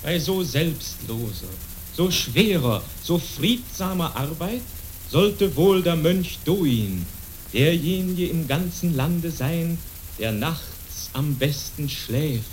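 A man narrating a radio play as a solemn, measured storyteller. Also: fairly high pitch, lively intonation, a little hiss or hum, poor audio. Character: solemn male storyteller narrating a monk's legend.

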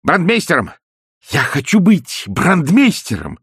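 A thin, reedy little man, as a male narrator puts him on, pitched high and whining when slighted. He bursts into eager cries, his voice leaping up and down. The voice has a slight husk and is recorded close and dry. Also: huge pitch swings, measured pace, a bit bright, breathy edge, no echo, heavily acted. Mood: eager excitement. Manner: whiny, eager.

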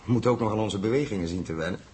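An actor playing a calm, reflective male spaceship captain in a 1960s Dutch radio drama. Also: on the low side, a little hiss or hum, close to an everyday voice. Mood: calm command. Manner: measured, musing, commanding.

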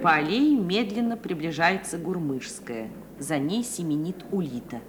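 A woman's voice: fairly low pitch, expressive, moderate pace, noisy background. A woman narrator reading scripted stage directions for a radio play.

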